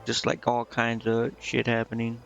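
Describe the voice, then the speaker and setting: A man's voice: medium pitch, monotone, moderate pace, vocal fry, a bit bright, highs cut off. A man streaming a game, reading its dialogue aloud with casual commentary and stumbling now and then before correcting himself. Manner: stumbles over read text and corrects himself. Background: game music.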